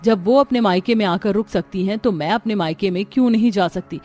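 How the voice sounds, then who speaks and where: A woman's voice: medium pitch, a little fast, darkish tone, natural voice. Woman as exasperated housewife, emphatic and complaining, cartoon family drama, faint music. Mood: indignant. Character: fed-up, outspoken young housewife and sister-in-law.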